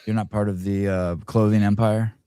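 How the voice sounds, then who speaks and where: Casual, self-mocking middle-aged man hosting a live show; lowish voice, dry close sound. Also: level tone, moderate pace, mellow, clean tone, dry recording. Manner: rambling, self-deprecating, many restarts. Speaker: podcast host. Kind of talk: live interview chat.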